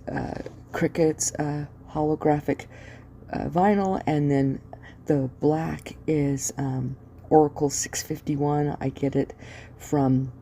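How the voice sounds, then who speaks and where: A woman's voice: sits low, creaky, dark tone, slight background noise. A woman hosting a craft tutorial, chatting casually as she works, with a sniffly nose and a rambling delivery full of ums and uhs. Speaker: craft channel host.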